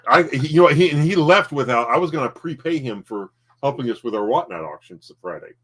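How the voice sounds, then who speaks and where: A man co-hosting a casual home livestream, talking in halting bursts and often repeating his words. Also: medium pitch, animated, slightly rough. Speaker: livestream co-host, collectibles seller.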